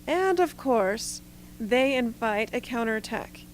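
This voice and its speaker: A woman's voice: a little high, lively intonation, a little slow, slight background noise, low-quality recording. A woman playing a cool, calculating leader who speaks formally and bureaucratically in an amateur radio drama. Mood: composed.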